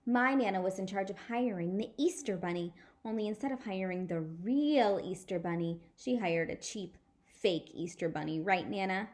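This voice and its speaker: A woman's voice: medium pitch, moderate pace, hardly any pauses, darkish tone, close to an everyday voice. A woman voicing a snooty, tattling little girl in a storybook, huffy and prim in manner.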